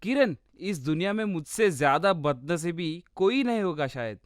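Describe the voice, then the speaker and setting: A luckless, complaining village man from a comic storybook, played by a male actor. His voice is high, smooth and clear, in a very dry recording. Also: lively intonation, normal speed, no room sound, close to an everyday voice. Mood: self-pitying. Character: self-pitying unlucky village man and his easygoing friend.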